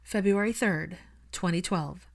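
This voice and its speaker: A woman's voice: fairly low pitch, unhurried, vocal fry. A woman reading fiction aloud as an audiobook narrator, in a scripted storytelling style.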